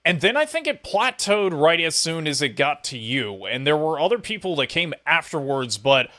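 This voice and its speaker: Bright, higher-pitched male podcast interviewer. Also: big pitch swings, moderate pace. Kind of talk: casual conversational interview.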